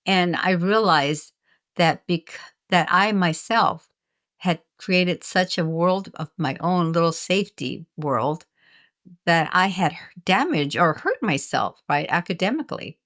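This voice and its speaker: Earnest older woman, lowish voice. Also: lively intonation, a little slow, fairly bright tone. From United States. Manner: earnest and reflective, with frequent restarts. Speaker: guest, author and retired teacher. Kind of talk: candid interview conversation.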